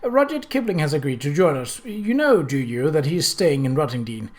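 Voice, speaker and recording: A man voicing a suave, wealthy older gentleman who is courtly, effusive and wryly amused in an audiobook. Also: a little high, sing-song, fairly quick.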